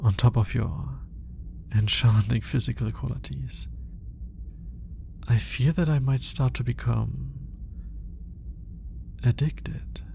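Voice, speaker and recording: A man's voice: medium pitch, creaky, a little mellow, a little hiss or hum. A man playing an awkward, analytical alien scientist in an intimate ASMR roleplay, speaking in formal, hesitant musings. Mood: hesitant, enchanted. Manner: hesitant, formal, articulate musing with sighs and pauses. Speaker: voice actor.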